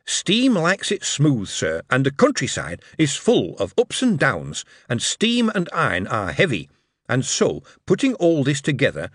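A man's voice: mid-range voice, wildly swooping pitch, unhurried, dry recording, clean studio sound, voice pushed up. A man voicing a cheerful, earnest male steam engineer with a Northern English dialect. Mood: eager. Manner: eager, earnest, dialect-heavy.

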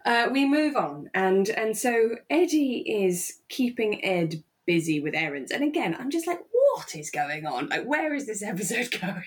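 A woman's voice: medium pitch, big pitch swings, measured pace, hardly any pauses. A young woman co-hosting a podcast, casually recapping a drama in an emotive, exclaiming way. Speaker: podcast co-host.

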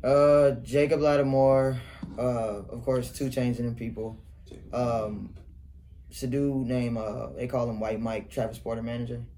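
A young man with a Detroit accent rambles through his story in easy, casual talk. His voice is mellow and dark. Now and then he breaks into a laugh, and a faint noise hangs behind him. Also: medium pitch, fairly even intonation, normal speed. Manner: laid-back, rambling, laughs often. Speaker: rapper guest.

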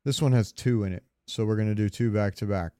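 A man's voice: fairly low pitch, steady tone, quick pace, mellow, clean tone, dry recording, clean studio sound. A man co-hosting a casual podcast, talking in a rambling, enthusiastic fan's way. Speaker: podcast co-host. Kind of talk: casual chat about games.

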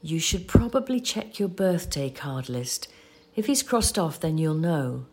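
A low-voiced woman as a patient companion in an audio drama. Her voice is slightly husky, and she explains things gently, with a measured sorrow. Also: sing-song, heavily acted. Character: patient, sorrowful female companion of a forgetful old man. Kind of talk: audio play acting.

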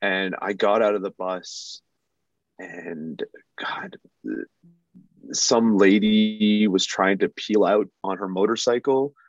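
A man's voice: on the low side, takes it slowly, no echo. A man who plays in a band, casually telling profane, self-deprecating anecdotes as an interview guest. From Canada. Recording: dull lo-fi sound.

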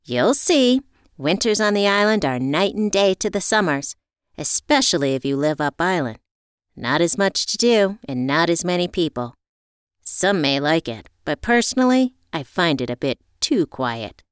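A woman's voice: lowish voice, sing-song, normal speed, clean tone, no echo, studio quality. Woman playing chatty, teasing island librarian. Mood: matter-of-fact.

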